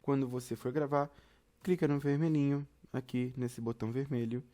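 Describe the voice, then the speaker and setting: Man, Brazilian accent, mid-pitched, mellow tone, informal instructor, conversational, frequent check-ins with listeners. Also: fairly even intonation, moderate pace. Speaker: instructor presenting a tutorial. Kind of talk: informal step-by-step tutorial.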